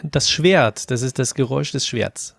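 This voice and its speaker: A man speaking casually in a group discussion as an audio drama producer, haltingly searching for words. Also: medium pitch, creaky, slightly rough, dry recording, clean studio sound.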